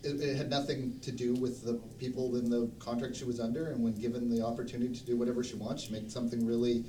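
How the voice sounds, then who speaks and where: A man's voice: mid-range voice, little pitch movement, fast, mellow. A man with a mid-range voice talks casually and a bit hesitantly. He sounds away from the microphone in an echoey room, with faint noise underneath. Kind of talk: casual chat. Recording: roomy, distant mic, faint background noise.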